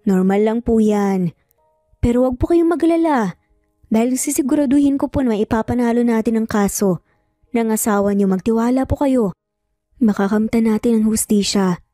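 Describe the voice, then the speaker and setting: A storyteller plays a principled woman lawyer in an audio drama. She sounds sincere and quietly firm, her voice fairly high and mellow, close and dry with music underneath. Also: normal speed, dry recording, lightly acted. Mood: reassuring. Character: principled, determined woman lawyer, reassuring and guarded.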